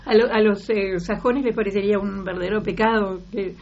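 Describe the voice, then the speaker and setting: A woman's voice: medium pitch, steady tone, fairly quick, creaky, darkish tone. A woman hosting an interview, asking chatty questions and filling in with encouraging murmurs. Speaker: interviewer, host. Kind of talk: broadcast interview. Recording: slightly muffled audio with faint noise.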